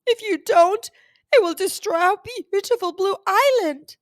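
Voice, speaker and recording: A woman as a high, tearful dragon pleading. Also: measured pace, dry recording, clean studio sound. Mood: desperate pleading. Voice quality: shaky, crying voice. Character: huge tearful green dragon, frightened and pleading.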